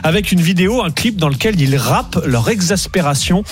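A man's voice: high-pitched, lively intonation, brisk, faint music behind. A man co-hosting a French TV debate, reading out news topics and jumping into the discussion. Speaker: co-host presenting news topics. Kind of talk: lively TV debate chat.

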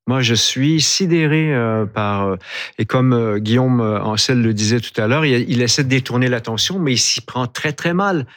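Canadian French man, medium pitch. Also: moderate pace, a bit bright. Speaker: panel commentator. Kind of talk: opinionated live discussion.

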